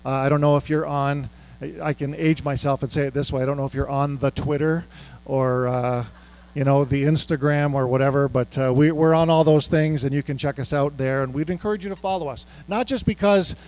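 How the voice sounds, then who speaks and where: A man's voice: on the high side, fairly even intonation, fairly quick, darkish tone. A middle-aged man speaking as a guest ministry speaker to a church, chatty and self-deprecating with many fillers. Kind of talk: casual church talk. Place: church sanctuary.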